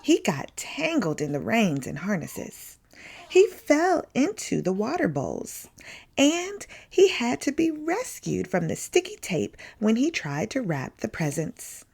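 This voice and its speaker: A woman narrates a children's picture book as a story time reader. Her pitch moves in lively swings, and she takes her time, leaning dramatically on key words. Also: slow, creaky, breathy edge. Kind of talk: expressive children's picture-book read-aloud.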